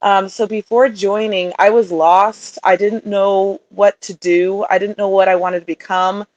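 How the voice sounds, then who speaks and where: A woman's voice: medium pitch, level tone, unhurried, dry recording, dull audio. A woman in her thirties, a guest in a casual interview, chatting about her life with frequent laughter. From Utah, raised also in Sweden and England. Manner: chatty, laughs often, warm storytelling. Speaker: guest, coaching client.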